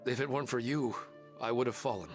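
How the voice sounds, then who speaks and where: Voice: mid-range voice, fast talker, lightly acted. An actor voicing a shy, hesitant young man in a video game, speaking apologetically. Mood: apologetic. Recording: game audio with loud background music.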